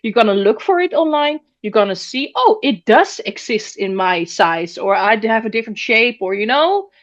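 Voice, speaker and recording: Woman on a roundtable, kinda high voice, muffled lo-fi audio, lots of uh and restarts. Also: expressive, normal speed. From Netherlands. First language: Dutch. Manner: thinks aloud with frequent uh and restarts. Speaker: columnist guest. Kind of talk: casual roundtable discussion.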